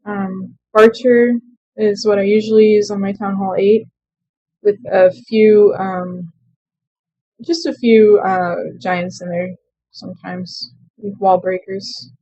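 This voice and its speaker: A young woman casually commenting on her own strategy game play, chatty and quick to laugh at herself. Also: mid-range voice, fairly even intonation, warm tone, breathy edge, no room sound, lo-fi sound. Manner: chatty, self-deprecating, laughs often. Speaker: let's play gamer.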